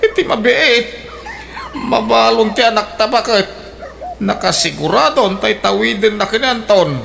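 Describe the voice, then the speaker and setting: A nosy old father, played by a male actor, chatters and schemes in a radio comedy. His voice is gravelly and jumps sharply upward when he gets excited. A faint noise runs under it. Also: moderate pace, exaggerated voice. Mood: fussing. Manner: excitable, jumps high in pitch. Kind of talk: Ilocano radio drama acting.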